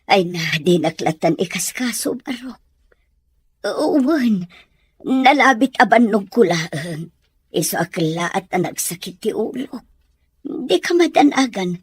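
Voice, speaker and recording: Slow, meek Filipino nanny, acted by a woman. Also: mid-range voice, sing-song, slightly rough, dry recording, full character voice. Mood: weary, gentle. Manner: submissive, gentle. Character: meek, weary, kindly household nanny. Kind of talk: amateur audio drama acting.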